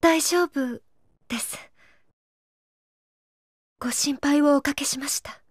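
A woman voicing a gentle, earnest young princess in a video game, softly polite and hesitant. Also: sits high, slow, dry recording, clean studio sound. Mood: apologetic. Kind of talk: video game cutscene acting.

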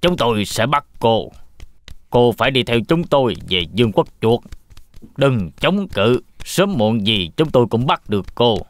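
Animated pirate mouse villain. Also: male voice, mid-range voice, measured pace, faint music behind. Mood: menacing. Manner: taunting, threatening. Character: menacing male pirate mouse, toy come to life. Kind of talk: cartoon fairy-tale dub.

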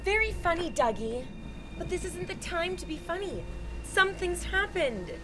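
A woman's voice: extremely high pitch, sing-song, moderate pace, over music. A young woman playing a distraught, lovesick film-noir dame, pleading and reproachful in a melodramatic style. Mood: urgent. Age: young adult.

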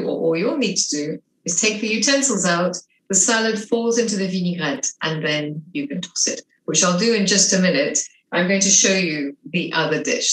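A middle-aged woman with a mixed French and English accent, chatting enthusiastically through a cooking demonstration in her home kitchen on a video call. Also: fairly low pitch. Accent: French and English mix. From half French, half English. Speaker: cooking instructor. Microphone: video call webcam. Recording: echoey kitchen with faint noise.

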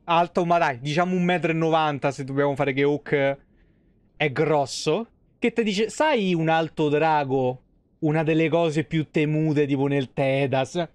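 A man's voice: a little high, unhurried, clean tone, no echo. A man in his thirties giving casual, slangy, sweary and sarcastic live commentary on a game. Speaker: game streamer. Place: small room at home, indoors.

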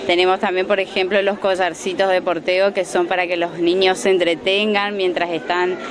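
A young woman, a craft vendor explaining her products warmly with fillers in an interview. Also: lowish voice, little pitch movement, moderate pace. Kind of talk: casual interview. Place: large indoor fair hall. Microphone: handheld mic. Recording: background noise.

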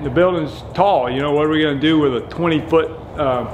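A man's voice: a little high, brisk, slight background noise. A man, casually showing off his workshop in a large metal pole barn, rambling with many restarts and self-deprecating asides. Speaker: lawn care business owner showing his shop. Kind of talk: casual shop tour chat.

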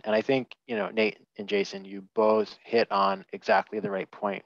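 A man's voice: fairly low pitch, creaky, no room sound. A man on a headset in a small room, giving candid, opinionated views as a panelist in a webinar, with many fillers and restarts. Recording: dull lo-fi video call audio.

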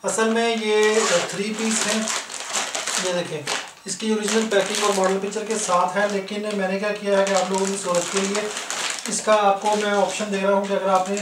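A man's voice: high voice, flat delivery, moderate pace. A man with a Pakistani accent giving an informal, explanatory product demonstration as a shop seller. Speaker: shop seller, video host. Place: indoor room, goods spread on floor. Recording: roomy, distant mic, faint noise.

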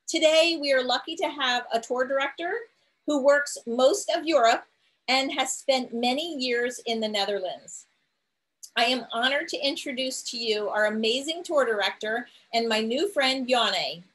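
A woman's voice: high voice, normal speed, fairly bright tone, low-quality recording. A middle-aged woman introducing a virtual tour over a video call, chatty with occasional fillers. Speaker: host, tour organizer. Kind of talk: webinar introduction. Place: home room.

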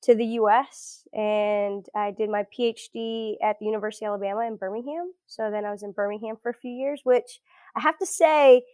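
A woman's voice: a little high, steady tone, brisk, a little mellow, clean tone, no room sound. A woman in her thirties from South Florida, chatting as a guest in a casual interview, with many fillers and restarts. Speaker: guest researcher.

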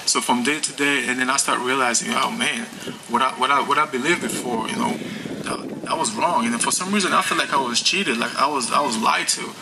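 A young man from Haiti gives his personal testimony to a gathering outdoors by a lake, speaking into a stand mic in an unhurried, halting way with many pauses and restarts. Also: medium pitch, creaky. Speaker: church member giving testimony. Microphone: stand mic, filmed from a distance. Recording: outdoor ambience and noise.

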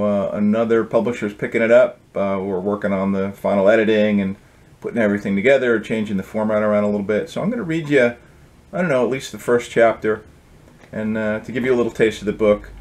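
Low-voiced middle-aged man reading his book. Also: fast, a little mellow. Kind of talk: storybook reading with casual introduction. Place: indoor home office.

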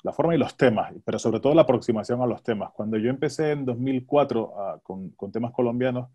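A man's voice: mid-range voice, fast talker, dark tone, husky. A man in his forties with an accent from Spain, talking conversationally as a journalist on a video call and repeating words as he thinks aloud. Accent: Spanish from Spain. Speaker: radio journalist. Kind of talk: conversational panel discussion. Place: home study, on a video call. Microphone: earphone headset on a video call.

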